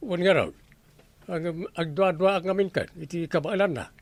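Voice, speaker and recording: A man's voice: high voice, normal speed. A male actor with an Ilocano accent playing a harried, grumbling village captain in a radio comedy drama. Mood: troubled, grumbling.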